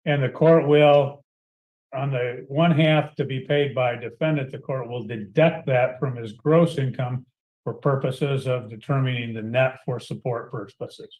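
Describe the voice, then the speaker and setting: A man presiding as judge, working through rulings one at a time. His voice sits at an ordinary pitch, and his delivery is formal and measured. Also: fairly even intonation, phone-quality sound. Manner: measured, formal, reads out orders. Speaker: judge in a court hearing.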